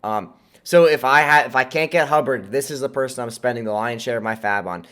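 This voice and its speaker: A man's voice: medium pitch, fairly quick, a bit bright. An American man with a nasal, congested voice, sick as he hosts, giving casual, emphatic fantasy football advice to viewers. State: sick with a cold. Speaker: host of a fantasy football channel.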